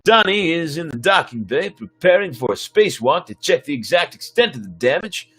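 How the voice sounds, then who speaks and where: A man's voice: on the high side, big pitch swings, fairly quick, bright, forceful tone, husky, soft background music, voice pushed up, full character voice. A man playing a stern, sardonic starship captain with a dry, commanding manner in a sci-fi story reading. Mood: formal.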